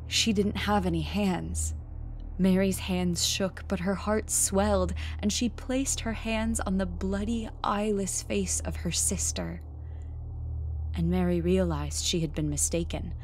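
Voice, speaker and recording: A woman narrating a scripted horror story in a dramatic, measured way. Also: lowish voice, darkish tone, a little hiss or hum. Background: music.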